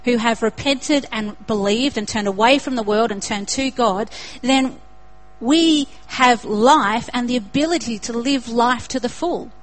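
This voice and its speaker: A woman's voice: fairly high pitch, unhurried, few pauses, poor audio. A young woman with an Australian accent preaching a sermon in an earnest way, building to emphatic repetition.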